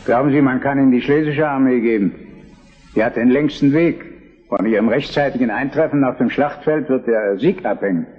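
A man's voice: medium pitch, moderate pace, natural voice. Actor as grave old Prussian king, slightly muffled. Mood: worried. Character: elderly, solemn, anxious Prussian king. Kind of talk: German historical radio drama acting.

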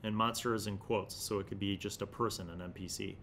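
A man's voice: on the low side, steady tone, brisk, gravelly, a bit bright, slight background noise. A man in his forties hosting a review, enthusiastic and emphatic, mixing casual commentary with reading aloud into a desk microphone in a small studio room. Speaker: host of a tabletop gaming magazine review series. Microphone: desk microphone close in front.

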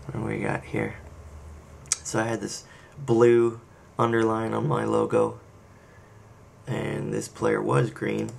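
Guy doing a laid-out screencast how-to, really slow and super creaky, kinda thinking out loud, bit of background noise. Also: fairly low pitch, completely flat delivery. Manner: unscripted, hesitant, pauses while clicking. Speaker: software tutorial presenter. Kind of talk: casual screencast walkthrough, thinking aloud. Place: indoor screen-recording voice-over.

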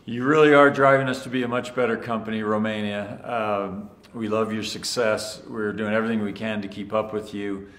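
Middle-aged executive man, low voice, upbeat congratulatory address, echoey office room. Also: steady tone, normal speed, a bit bright. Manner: upbeat and congratulatory, some fillers. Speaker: company executive. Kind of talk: scripted-free video address to sales leaders. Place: large bright office with tall windows, indoors. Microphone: standing before a fixed camera, two-shot.

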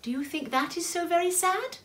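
A woman voicing a calm, practical storybook woman, plain-spoken and kindly. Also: fairly high pitch, moderate pace, far from the mic, voice pushed up. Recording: echoey room.

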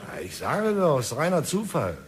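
A man's voice: fairly high pitch, huge pitch swings, moderate pace. A man playing a persuasive company negotiator in a radio drama, jovial and coaxing.

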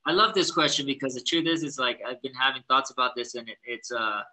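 A man's voice: medium pitch, quick pace, low-quality recording. A young adult man, a professional skateboarder, answering casually over a video call, full of "like" and "you know". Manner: relaxed, chatty, frequent "like" and "you know". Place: indoor living room. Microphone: laptop webcam on a video call.

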